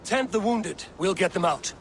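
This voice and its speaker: An actor playing a steady, loyal Viking warrior leader in a game, direct and firm with a dry teasing edge. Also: male voice, sits high, moderate pace, a little hiss or hum. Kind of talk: dramatic video game cutscene acting.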